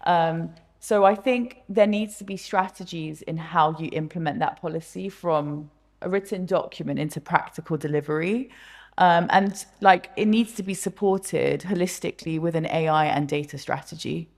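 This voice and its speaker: A woman from the United Kingdom speaking as a panelist, brisk and hedging with "um" and "like". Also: on the low side. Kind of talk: panel discussion.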